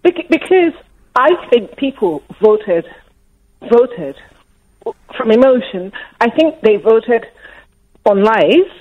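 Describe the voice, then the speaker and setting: A woman's voice: on the high side, very animated. Upset Nigerian-accented woman calling in. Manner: emotional, upset, talks in rushes with many restarts. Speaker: caller. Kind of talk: radio phone-in call. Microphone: phone. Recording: muffled phone line.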